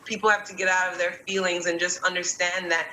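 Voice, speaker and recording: A woman's voice: lowish voice, little pitch movement, fairly quick, pushed and bright. A woman raised in Jamaica, talking casually and chattily with lots of fillers and restarts. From born in New York, raised in Kingston, Jamaica. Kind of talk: casual conversational discussion. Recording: echoey room with faint ambient noise.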